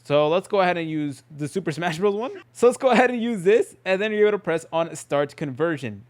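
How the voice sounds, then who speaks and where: Fast-talking, high-pitched man hosting a tutorial. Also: animated. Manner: informal, chatty step-by-step explaining. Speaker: tutorial host. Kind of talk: casual screen-recorded software tutorial narration.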